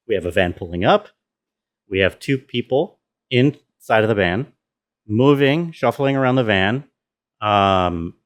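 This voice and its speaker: A man with a fairly low voice talks through his work as a sound designer. He explains things step by step in an informal tutorial style, peppering the explanation with small conversational fillers. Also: a bit bright. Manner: explanatory, step-by-step, often trailing into "you know". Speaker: sound designer presenting a walkthrough.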